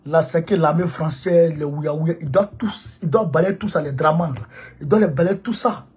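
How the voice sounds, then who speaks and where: A man's voice: fairly high pitch, moderate pace, a little mellow, slightly rough. A man, who has a cold, giving an impassioned political monologue to supporters in an emphatic, repetitive, preachy way. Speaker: political activist addressing supporters.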